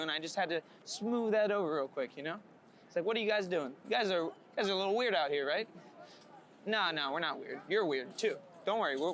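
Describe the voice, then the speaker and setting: High voiced guy, super upbeat and chatty, out on a city street w traffic noise behind him. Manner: upbeat, energetic, chatty, swears casually. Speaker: street photographer being filmed at work. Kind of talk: casual candid talk. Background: city ambience and vehicles.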